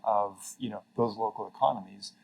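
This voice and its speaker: A man's voice: lowish voice, steady tone, normal speed, gravelly, slightly rough, rough recording. A middle-aged man from Mexico, speaking earnestly and reflectively as an interview guest, with frequent fillers and restarts. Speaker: interview guest, former carbon registry CEO. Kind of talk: casual conversational interview.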